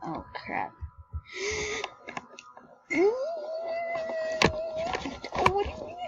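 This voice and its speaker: A woman commenting on a game she plays, excitable and jumping between shrieks and hushed whispers, sick and shivering with cold. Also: extremely high pitch, extremely slow, long pauses, highs cut off, noisy background. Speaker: game video creator. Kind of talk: casual gameplay commentary.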